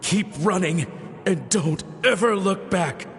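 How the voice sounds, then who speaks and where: A desperate protector from a video game. His high voice pleads urgently in slow, drawn-out phrases, with long reverb. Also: gravelly, fairly bright tone, full character voice. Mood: desperate urgency. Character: desperate, protective man urging someone to flee. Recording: long reverb, music behind.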